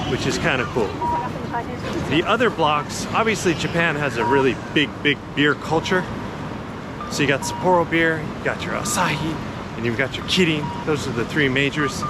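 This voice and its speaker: Man chatting outdoors over clear city noise. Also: fairly high pitch. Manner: laid-back, enthusiastic, colloquial. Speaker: vlogger giving a walking tour. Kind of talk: casual chatty walk-and-talk narration. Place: outdoors in a busy city park. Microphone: handheld action camera.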